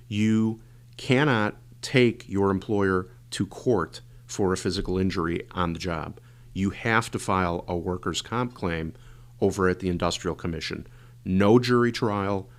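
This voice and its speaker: A man hosting a legal podcast, hoarse and a bit congested from a cold, talking casually with many fillers. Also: low-pitched. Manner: rambling, filler-heavy explaining. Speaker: podcast host, injury lawyer.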